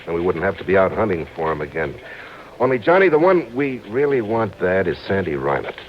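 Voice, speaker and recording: A man's voice: low voice, sing-song, a little fast. An American man playing an exasperated, sarcastic city police lieutenant in a radio detective drama, blustering and sardonic. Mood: sardonic, insistent. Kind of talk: 1950s-60s radio detective acting. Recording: muffled, slightly noisy old radio broadcast.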